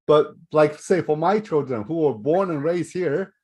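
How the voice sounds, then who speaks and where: A man's voice: a little high, measured pace, darkish tone. A middle-aged man from Canada hosting a live webinar Q and A, talking loosely with lots of fillers and restarts. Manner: rambling, many fillers and restarts. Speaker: webinar host.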